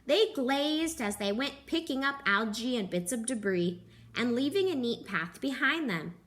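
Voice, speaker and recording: A young woman teacher reads a children's picture book aloud into a close camera in her living room. Her voice sits fairly high and swings widely, with gasps and playful little voices for the animals. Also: measured pace. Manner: gentle, animated storytelling with gasps and character voices.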